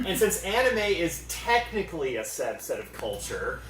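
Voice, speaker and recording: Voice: mid-range voice, very animated, normal speed, a bit bright. A convention panel co-presenter giving a mock-serious comedy talk to a live audience, satirically pompous, recorded at a distance in a roomy space. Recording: roomy echo, picked up from a distance.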